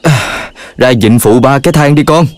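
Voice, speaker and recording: A man's voice: mid-range voice, moderate pace, heavy background noise, close to an everyday voice. A man voicing a middle-aged, fussing dog father in a children's cartoon, with a Southern Vietnamese accent. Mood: impatient.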